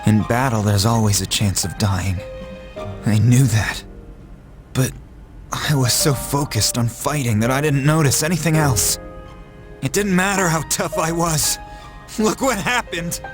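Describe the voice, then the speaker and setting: A man's voice: mid-range voice, measured pace, lightly acted. A man playing a tough, brooding young fighter wracked with guilt in a video game, speaking in a blunt, earnest way. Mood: anguished. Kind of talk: dramatic video game voice acting. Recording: loud music behind the voice. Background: music.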